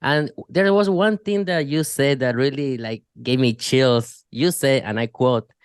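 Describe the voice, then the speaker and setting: Casual co-host with a smooth voice. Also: male voice, medium pitch, unhurried, no room sound, studio quality. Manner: casual, many "like" fillers. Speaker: podcast co-host. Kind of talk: casual interview chat.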